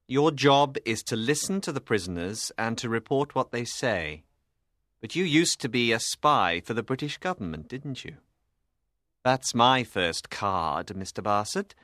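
A man playing a grim, calm lawyer who confronts people firmly and deliberately in a dramatised audiobook. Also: mid-range voice, no room sound, studio quality. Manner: firm, deliberate, calmly menacing. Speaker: voice actor in a dramatised story.

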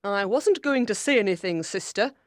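Storybook aunt, played by a woman: older, critical, haughty, quick to scold, recorded dry. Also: mid-range voice, expressive, no echo, natural voice. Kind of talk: audiobook character voice.

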